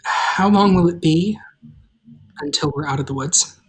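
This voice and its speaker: A woman's voice: sits low, measured pace, rough recording. A woman playing a plucky, protective young girl in a table read, earnest, by turns scolding and kindly. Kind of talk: table read of an audio drama.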